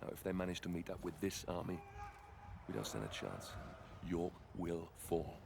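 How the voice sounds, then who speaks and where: A hard-edged Roman centurion in a game, grim and clipped whether he is narrating or answering orders. His voice is extremely deep. A long reverb tail and noise hang around it. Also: expressive, moderate pace, creaky. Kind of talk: video game narration and dialogue. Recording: reverberant and noisy.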